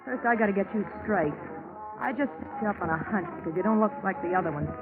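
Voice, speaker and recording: An actress playing a guarded, feisty young woman in 1940s radio drama, answering with sharp, suspicious retorts. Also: mid-range voice, fast talker, lightly acted. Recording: tinny vintage radio recording with music.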